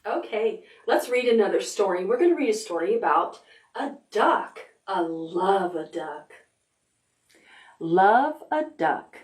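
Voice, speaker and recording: A woman's voice: medium pitch, animated. A woman librarian reading picture books aloud to preschoolers, stopping to ask the children questions. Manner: gentle, deliberate storytime delivery.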